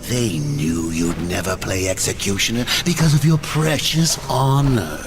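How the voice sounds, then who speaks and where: A cruel demon jailer taunting in a low, slow, sneering voice. He mocks his captive with cold amusement, with music under him. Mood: mocking. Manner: taunting, laughs at others. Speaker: game character. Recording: game cutscene with music underneath.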